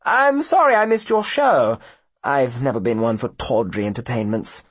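A man's voice: mid-range voice, lively intonation, normal speed, breathy edge, no room sound. A man voicing a smug, villainous profiteer with a mocking, pompous and falsely genteel manner. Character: sneering villainous profiteer, smug, mock-genteel older gentleman.

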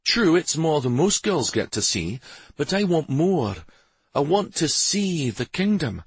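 A man acts out a young noblewoman from a fantasy audiobook. She is loving, teasing and hungry for adventure. Her voice sits high and swings expressively, with a slightly husky edge. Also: very animated, a little slow, dry recording, exaggerated voice. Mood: yearning, playful. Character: adventurous, reassuring young noblewoman, a devoted wife.

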